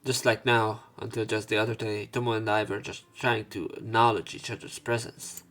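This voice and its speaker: A man doing a let's play, reading visual novel narration and dialogue aloud, somewhat haltingly, correcting himself as he goes. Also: lowish voice, little pitch movement, a bit bright. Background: game music.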